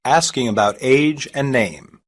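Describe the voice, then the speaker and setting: A reader saying short English practice sentences slowly and evenly, each one twice, for a language lesson. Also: medium pitch. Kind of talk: slow repeated phrase reading.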